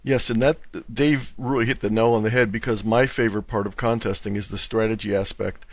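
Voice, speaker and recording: A man's voice: fairly low pitch, fairly even intonation, brisk, no room sound. A man talking conversationally as an interview guest, explaining his hobby. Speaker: interview guest, club presenter and radio contester.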